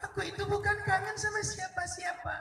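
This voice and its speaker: A man's voice: extremely high pitch, little pitch movement, a little fast, bright, forceful tone, soft background music, pitched above the performer's own voice, heavily acted. A man voicing a tearful, clingy wife who pines sentimentally for her husband in a told anecdote. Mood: plaintive longing. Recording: roomy, distant mic with faint noise.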